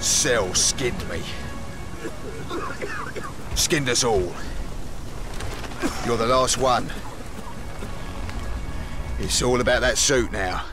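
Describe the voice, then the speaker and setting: An actor voicing a gruff, bitter, battle-worn squad leader in a video game, clipped and urgent. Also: male voice, mid-range voice, very slow, long pauses, music in the background. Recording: noisy game scene with rain.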